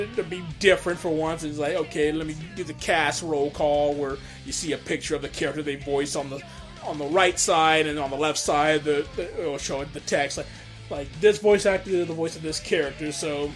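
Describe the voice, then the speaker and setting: A man giving casual, excited director's commentary, stammering and repeating words as he goes. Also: a little high. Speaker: comic dub director giving audio commentary.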